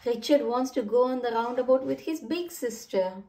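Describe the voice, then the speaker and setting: High-voiced woman reading a children's storybook. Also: moderate pace, far from the mic. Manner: sing-song, expressive, addresses children directly. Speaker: children's story reader, channel host. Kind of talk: storybook read-aloud for children.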